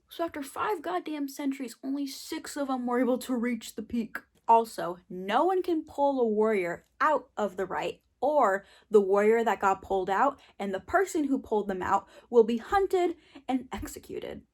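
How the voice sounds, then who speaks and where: A young woman giving an informal, emphatic book recap with casual swearing, partly scripted, as a book reviewer. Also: on the high side.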